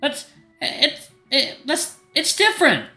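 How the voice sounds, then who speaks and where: Man playing an earnest, flustered teenage boy, very bright. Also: mid-range voice, animated, a little fast, reverberant, faint music behind.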